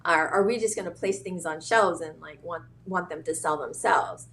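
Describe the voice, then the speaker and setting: Brief: woman from Hawaii, founder guest, low-pitched, halting and reflective. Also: fairly bright tone. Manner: thoughtful, hesitant with many fillers and restarts. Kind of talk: casual interview talk.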